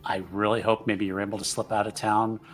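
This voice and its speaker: Man playing a low, halting, earnest tavern regular. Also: flat delivery, faint music behind, close to an everyday voice. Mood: grave, concerned. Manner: halting, repeating words, polite. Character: soft-spoken, earnest male tavern regular, cautiously warning.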